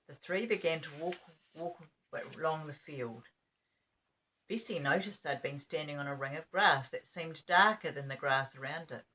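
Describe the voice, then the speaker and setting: An older woman with a fairly low voice reads a children's story aloud. She is an expressive storyteller, slipping in small asides as she goes. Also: moderate pace, distant mic, low-quality recording. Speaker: grandmother storyteller.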